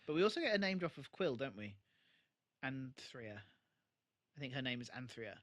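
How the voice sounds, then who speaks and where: A man's voice: mid-range voice, big pitch swings, normal speed, a bit bright. A man co-hosting a podcast, recapping in a casual, sweary, conversational way. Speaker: podcast co-host. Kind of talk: casual chat, recapping a TV episode.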